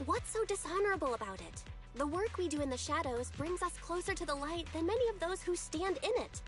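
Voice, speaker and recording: A principled young heroine from a video game. Her voice is high, and she speaks with earnest, pointed pluck over faint music. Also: expressive, a little fast. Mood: defiant, earnest. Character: plucky young female proxy, principled and quick to retort.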